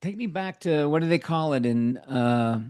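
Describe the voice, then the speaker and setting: A man's voice: on the high side, expressive, normal speed, darkish tone, clear, resonant tone. A middle-aged man hosting a podcast, interviewing casually with playful teasing and short questions. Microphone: video call.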